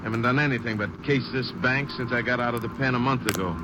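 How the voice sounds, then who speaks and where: An actor playing an impatient ex-convict robber in a 1940s radio drama. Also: male voice, medium pitch, fairly even intonation, fast, background noise, lightly acted. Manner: impatient, defensive.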